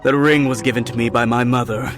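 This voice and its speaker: A man's voice: mid-range voice, steady tone, fairly quick, lightly acted. A man voicing a polite, worried innkeeper in a video game. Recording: music behind the voice.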